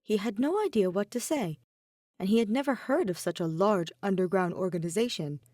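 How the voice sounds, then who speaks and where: A woman's voice: mid-range voice, lively intonation, a little fast, a little mellow, no echo, clean studio sound. A woman reading a scripted piece of fan fiction as its narrator. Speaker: podfic narrator.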